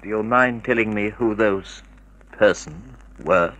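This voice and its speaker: An actor playing a grave, bewildered husband in a 1950s radio drama, speaking in a formal, subdued way. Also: male voice, fairly low pitch, measured pace, fairly bright tone, lo-fi sound. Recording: noisy old radio recording with clicks.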